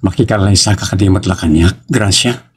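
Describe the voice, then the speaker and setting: A man's voice: low-pitched, a little fast, slightly rough. A man with a Filipino accent playing a devoted young man in love in an audio drama, with an earnest delivery. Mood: tender. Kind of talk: romantic audio drama acting. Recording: music under the voice.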